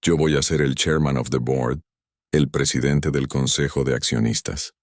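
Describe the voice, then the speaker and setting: A man narrating a dramatic first-person story in a measured, stern manner. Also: very deep voice, steady tone, no echo, studio quality.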